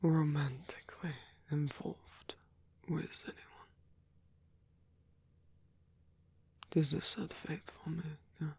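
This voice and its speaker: A man playing a lonely, gentle old vampire, murmuring haltingly and breathily in an intimate ASMR roleplay. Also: a little high, fairly even intonation, no room sound, full character voice. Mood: shy, wistful. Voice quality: breathy, whispery.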